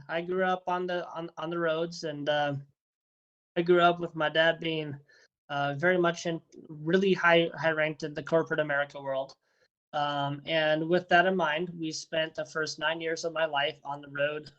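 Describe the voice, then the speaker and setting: A man's voice: a little high, a bit bright, highs cut off. Friendly rambling man on a video call. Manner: rambling, repeats and restarts words, friendly. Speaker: interviewee, first responder and dog handler. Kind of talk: casual interview answers.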